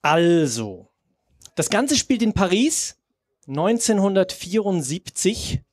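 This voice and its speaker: A man's voice: sits high, sing-song, slow pace, dry recording, heavily acted. Man as nervous young writer, hesitant, effusive, stumbling, dry close sound, live radio comedy. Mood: eager. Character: nervous, eager young male author pitching his work. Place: outdoor festival stage under a tent.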